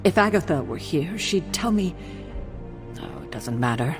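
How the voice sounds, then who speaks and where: A woman voicing an earnest, self-doubting superhero team leader in a video game, serious and deliberate. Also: sits low, animated, music in the background. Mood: troubled. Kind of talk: video game cutscene acting.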